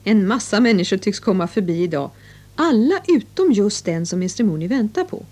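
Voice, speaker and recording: A woman's voice: mid-range voice, lively intonation, a little mellow. A woman presenting a children's English lesson with scripted narration and instructions.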